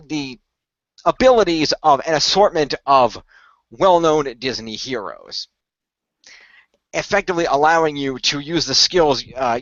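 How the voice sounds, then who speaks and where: A man playing an earnest male leader who recruits heroes in a radio play, explaining things in a halting, deliberate way. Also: mid-range voice, a bit bright, no room sound, dull audio, close to an everyday voice. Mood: earnest, persuasive. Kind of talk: improvised radio play dialogue.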